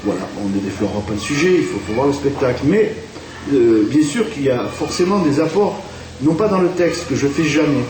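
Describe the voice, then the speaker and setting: A man's voice: medium pitch, lively intonation, a little fast. A man from France, a theatre director, talking in a radio interview with many fillers and restarts. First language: French. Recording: distant, echoey room with faint hiss.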